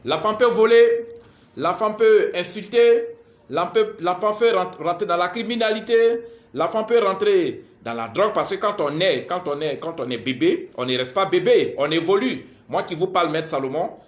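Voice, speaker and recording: High-voiced man lecturing in echoey room. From Ivory Coast. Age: 40s. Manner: deliberate, didactic, repetitive, halting phrases with frequent verbal tags. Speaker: spiritual lecturer, astrologer. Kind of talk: spoken lecture to camera.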